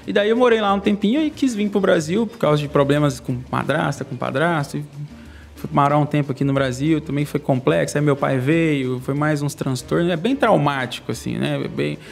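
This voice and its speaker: A young man from Brazil, a podcast guest, telling his life story in a fast, slangy, candid way. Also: fairly high pitch, gravelly. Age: young adult. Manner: fast, slangy, candid, laughs between lines. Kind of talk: casual storytelling interview.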